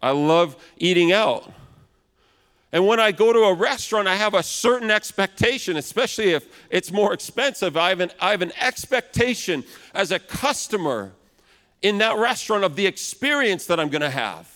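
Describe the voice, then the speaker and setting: A man in his thirties preaching a sermon in a loud, big voice, now and then acting out complaining customers. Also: sits high, animated, normal speed. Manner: acts out complaining customers in raised voice. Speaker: pastor. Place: large church hall. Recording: long hall reverb.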